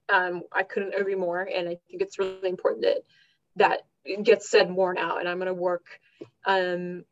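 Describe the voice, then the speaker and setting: A woman hosting a livestream interview for an advocacy group, affirming her guest and asking casual questions. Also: mid-range voice. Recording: dull lo-fi audio.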